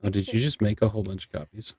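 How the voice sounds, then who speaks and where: A man's voice: lowish voice, fairly even intonation, rapid-fire, noisy background. A man chatting casually and jokingly while playing a game with friends. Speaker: player in a Minecraft roleplay group. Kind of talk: casual gaming chat.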